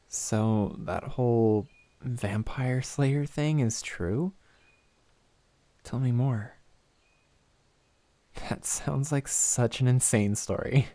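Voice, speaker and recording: A man's voice: mid-range voice, dark tone, no echo. A man playing a sly, mocking vampire who poses as an awkward hiker in an audio drama. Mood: feigned curiosity. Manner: halting, coy, mocking. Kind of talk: cinematic audio roleplay acting.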